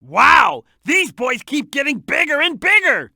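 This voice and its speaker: A woman voicing an excitable, cheeky little boy animal sidekick in a video game, with a childlike voice and eager, emphatic delivery. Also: high-pitched, measured pace, creaky, no echo, studio quality, exaggerated voice. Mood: cheeky, alert. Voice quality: youthful, childlike. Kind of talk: video game character hint lines.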